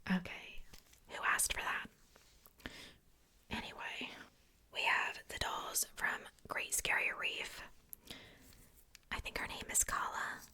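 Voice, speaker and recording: Whispering woman, bright and breathy ASMR. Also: takes it slowly, pauses often. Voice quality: soft unvoiced whisper. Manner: rambling, casual, conversational asides. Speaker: ASMR video host showing a doll collection. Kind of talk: ASMR, casual chatty whispering.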